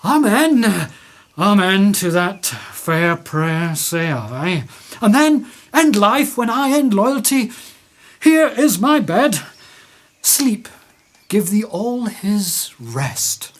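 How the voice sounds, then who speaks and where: A woman playing an earnest, coaxing young man in love, in a husky voice, for a Shakespearean radio play. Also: medium pitch, sing-song, very slow, heavily acted. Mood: devoted, sleepy. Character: ardent young male lover, earnest and coaxing.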